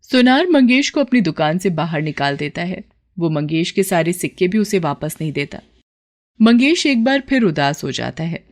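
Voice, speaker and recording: A woman's voice: on the low side, big pitch swings, moderate pace. A woman storyteller reading a scripted moral tale as narration.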